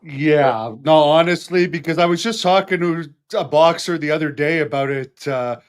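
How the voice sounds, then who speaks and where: A man's voice: a little high, normal speed, clean tone. A man hosting an interview, eagerly chatting and filling in facts. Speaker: host. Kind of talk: casual interview chat.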